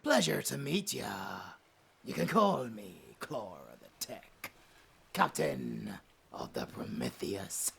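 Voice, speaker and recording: A performer in an audio drama voicing a swaggering, theatrical pirate captain, gravelly and taunting, dropping into breathy growls. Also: mid-range voice, huge pitch swings, slow, fairly bright tone, full character voice. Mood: mock-polite, teasing. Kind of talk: cinematic audio roleplay acting.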